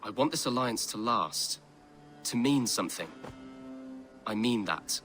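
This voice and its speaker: A man's voice: medium pitch, expressive, a little slow, a bit bright, faint music behind. A man playing an earnest, courteous young noble guest among Vikings in a video game, with polite, careful speech. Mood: eager, sincere.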